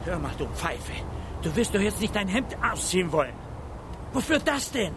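A man's voice: sits high, very animated, moderate pace, heavy background noise. A male actor in a German radio drama playing a bullying, panicky forest foreman who barks orders in coarse, impatient slang. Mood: irritated, commanding. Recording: loud sound effects and music behind.

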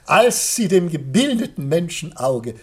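An actor playing a middle-aged, effusive artist father in a theatrical radio play, declaiming grandly in a husky voice. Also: a little high, big pitch swings, measured pace, heavily acted. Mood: rapturous pride. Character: proud, rapturous father Ambrosius, besotted with his artwork.

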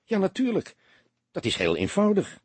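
Man acting young male soldier, anxious, earnest, stammering, defensive, raised husky voice, audiobook character. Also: high voice, wildly swooping pitch, moderate pace, dry recording, pitched above the performer's own voice, exaggerated voice. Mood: surprised, insistent. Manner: hesitant, stammering, repeats words.

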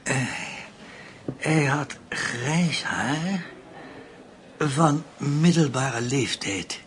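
Slow, halting shaken priest. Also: male voice, mid-range voice, animated, fairly bright tone. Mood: hesitant. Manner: halting, unsure, careful. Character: shaken, hesitant priest recovering from a robbery attack. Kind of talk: radio drama acting. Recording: radio play with noticeable background noise.

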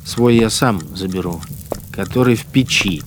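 A man's voice: fairly low pitch, unhurried, a little hiss or hum, lightly acted. An actor in a Russian radio drama playing a cynical, menacing collaborator policeman who muses in mocking, colloquial speech. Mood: sneering menace. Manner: colloquial, mocking, musing to himself.

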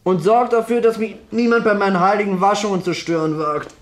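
An actor playing a flippant, eager young amateur sleuth with a playfully grandiose way of talking, in a German radio crime drama. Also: male voice, high-pitched, fairly even intonation, fairly quick, close to an everyday voice. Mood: sleepy, mock-pompous. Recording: faint background noise.

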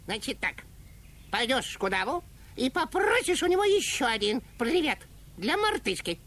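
A high-voiced know-it-all parrot for a children's radio play. He is bossy and pedantic, lecturing deliberately with very lively intonation. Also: exaggerated voice. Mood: instructive. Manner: didactic, deliberate, self-important. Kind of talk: children's audio play acting.

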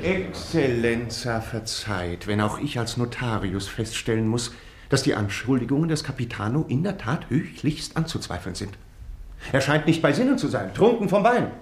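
A man plays a scheming advocate in a radio play. His delivery is pompous and lawyerly, with lively swings of pitch in an echoing room. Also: moderate pace, slight background noise, close to an everyday voice. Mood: smoothly pleading. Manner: eloquent, pompous, legalistic. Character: smooth, scheming male notary and advocate. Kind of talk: German radio drama acting. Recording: roomy echo on radio drama.

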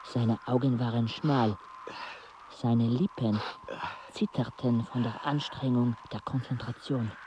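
An actor playing a dazed expedition companion in a radio play, narrating and talking in a deadpan way. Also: male voice, medium pitch, slow, background noise, lightly acted. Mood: dazed, dry.

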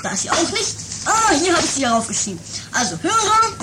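A woman playing a flustered, lovesick gentleman suitor in an improvised comedy audio drama, stammering and exaggerated. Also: very high-pitched, sing-song, a little fast, very noisy.

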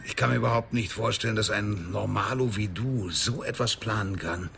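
A man's voice: on the low side, moderate pace, creaky, fairly bright tone, a little hiss or hum. A man acting in a radio drama as a hard-boiled gangster, terse, probing and scornful. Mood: probing, incredulous.

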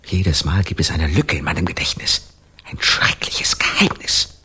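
An actor in a German radio play playing an eccentric, haunted Parisian composer who has lost his memory, theatrical and given to swings between hushed musing and outbursts. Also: sits low, sing-song, normal speed, slightly rough, in a whisper, heavily acted.

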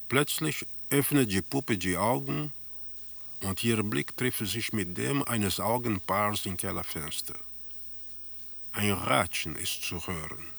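A man playing a detached observer in a radio drama, narrating surreal scenes in a measured, deliberate way. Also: on the low side, vocal fry, a bit bright, slightly rough, full character voice.

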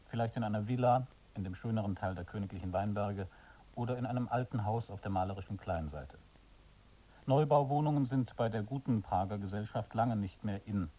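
A man narrates a radio drama in scripted, descriptive prose. His voice is lowish and mellow. It sounds a little distant from the microphone. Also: slightly rough. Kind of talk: scripted scene-setting narration.

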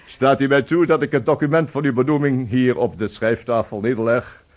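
An actor in a radio play playing a fussily polite company official who speaks in a formal, pompous and somewhat hesitant manner. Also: male voice, medium pitch, fairly even intonation, fairly quick, highs cut off, lightly acted. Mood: pompous, jovial.

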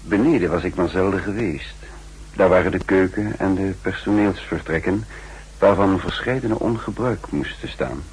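A man acting a shrewd, sardonic counter-espionage chief in a 1960s radio drama, speaking deliberately in clipped, dryly ironic phrases. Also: low voice, darkish tone, a little hiss or hum, natural voice, poor audio. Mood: brooding, tense.

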